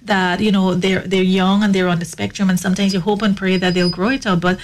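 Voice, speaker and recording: A woman's voice: mid-range voice, flat delivery, a little fast, a little hiss or hum. A woman hosting a talk show, talking earnestly with frequent restarts and "you know" fillers. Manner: earnest, repeats words, many you knows. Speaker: host. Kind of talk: casual talk show conversation.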